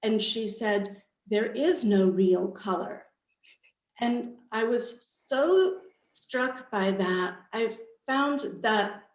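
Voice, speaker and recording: A woman author speaking as a panel guest on a video call, thoughtfully explaining her own book in halting phrases. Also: fairly high pitch. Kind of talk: panel interview answers about own book. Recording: roomy, echoey video call sound.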